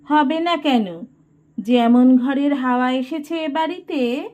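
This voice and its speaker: A woman's voice: high voice, takes it slowly, dark tone, pitched above the performer's own voice. A woman voicing a disdainful older aristocratic matriarch in an audio story, speaking in a pointed, sneering way. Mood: scornful. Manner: pointed, sneering, meant to be overheard. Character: disdainful, snobbish older aristocratic aunt-in-law.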